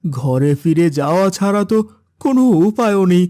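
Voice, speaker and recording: A man's voice: high voice, lively intonation, moderate pace, darkish tone. A man voicing a poor, plaintive village sweet-seller and husband in a moral-story cartoon. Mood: despairing. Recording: music behind the voice.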